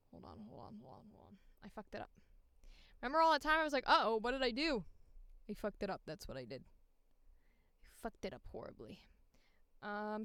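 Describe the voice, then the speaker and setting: A woman's voice: mid-range voice, pushed and bright, clean tone, dry recording, studio quality. A woman streamer and voice actor chatting casually as she works, half to herself, playful and free with swearing. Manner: talks to self, swears freely, playful. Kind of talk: casual livestream chat while editing audition takes.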